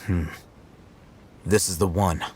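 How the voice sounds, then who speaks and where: An actor playing a calm, serious, bookish man in a video game, speaking in a measured, laconic way. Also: low voice, slight background noise. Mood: calm, unhurried.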